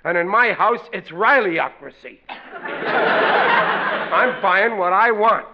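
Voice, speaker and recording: A man's voice: sits high, expressive, takes it slowly, exaggerated voice. An actor playing a blustering, stubborn middle-aged American father in a 1940s radio sitcom, with emphatic, uneducated diction. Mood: indignant, stubborn. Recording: dull, noisy old radio recording.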